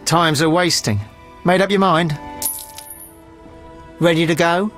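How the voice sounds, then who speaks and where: A man's voice: on the high side, fairly even intonation, slow pace, fairly bright tone. A man playing a pushy ferryman touting for fares in a video game. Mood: impatient. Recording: music behind.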